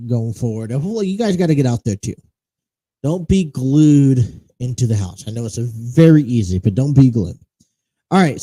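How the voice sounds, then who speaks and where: A man's voice: medium pitch, mellow, rough recording. A man hosting a casual solo talk show, chatting in a rambling, self-correcting way. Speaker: host. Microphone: studio mic with monocaster setup.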